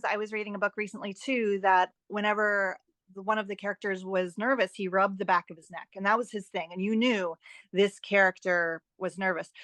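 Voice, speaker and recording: A woman speaks as an expert guest on a podcast, in a mid-pitched voice recorded close and dry. She explains things with emphasis and encouragement, often asking a question to drive a point home. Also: a bit bright, no room sound. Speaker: guest author and editor giving advice. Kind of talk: podcast interview, explanatory talk.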